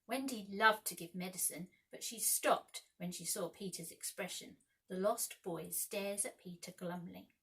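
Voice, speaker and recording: A woman narrating a children's storybook aloud with expressive, deliberate dramatic phrasing. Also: lowish voice, creaky. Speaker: primary school teacher reading aloud.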